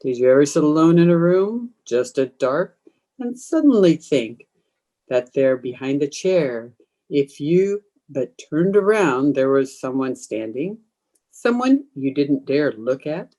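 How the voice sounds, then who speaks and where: A woman's voice: low voice, very animated, dark tone, exaggerated voice. A woman playing a menacing, commanding undead ghost hungry for blood, in old time radio horror style. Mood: eerie, hungering. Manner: slow, commanding, taunting. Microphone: video call.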